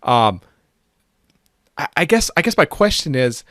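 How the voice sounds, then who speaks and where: A man's voice: fairly high pitch, sing-song, unhurried, a bit bright, dry recording, studio quality. A young man hosting a podcast, asking friendly, loose questions over a video call. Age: young adult. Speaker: podcast host. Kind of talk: casual interview questions. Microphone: podcast mic on video call.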